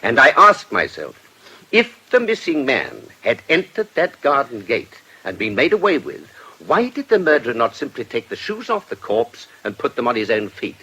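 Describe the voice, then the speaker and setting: An actor playing a shrewd, courteous investigator in a British radio drama, speaking precisely and politely with an English accent. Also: male voice, medium pitch, huge pitch swings, normal speed, sharp tone, husky, exaggerated voice, rough recording. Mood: reasoning, emphatic. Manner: precise, urbane, formally polite. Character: shrewd, courteous male investigator, doctor and lawyer, quietly sly.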